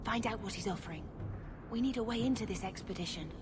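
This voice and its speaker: A woman playing an earnest, cautious young sister of an adventurer in a video game, speaking in a measured way. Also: medium pitch, background noise, natural voice. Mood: earnest, urging. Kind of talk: video game character dialogue. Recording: music behind the voice.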